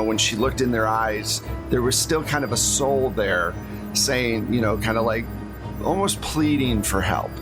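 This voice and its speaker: A man with a slightly higher than average voice hosts a tabletop game as game master. He talks casually, telling the story so far as a spoken recap, with music playing clearly behind him.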